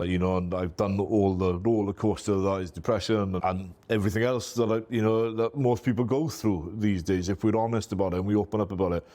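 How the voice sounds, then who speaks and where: A man's voice: fairly low pitch, a little mellow. A middle-aged man from Wales with a Welsh accent, a Welsh first-language speaker, talking casually and self-deprecatingly as an interview guest, often saying "you know". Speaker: MSP business owner, guest.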